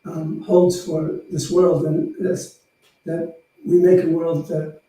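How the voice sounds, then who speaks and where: A man speaking as a minister, informally leading prayer and blessing with halting pauses. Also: on the high side, little pitch movement, mellow. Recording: very echoey room, slightly distant mic.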